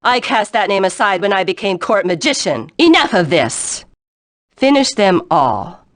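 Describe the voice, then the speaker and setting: A woman's voice: medium pitch, big pitch swings, unhurried, no echo, studio quality. A woman playing a haughty, ruthless court magician villain in a video game dub, with an imperious, silky, mocking delivery. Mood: commanding.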